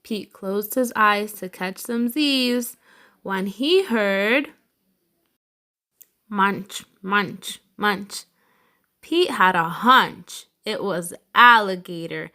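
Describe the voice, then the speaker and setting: A woman hosting a read-aloud, narrating a children's storybook slowly and expressively with emphatic phrasing. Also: medium pitch, bright, forceful tone.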